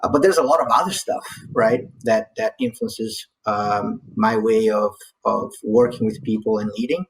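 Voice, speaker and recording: A man's voice: lowish voice, normal speed, hardly any pauses, slight background noise, poor audio. A man from Brazil speaking conversationally and tentatively as a panelist. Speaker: panelist, corporate leader.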